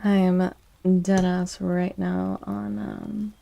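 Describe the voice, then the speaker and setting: Woman, soft-spoken ASMR streamer, slow pace, mellow tone, chatty, close-miked. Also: lowish voice, little pitch movement, vocal fry. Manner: chatty, unhurried, greets viewers by name. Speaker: live streamer hosting ASMR tarot stream.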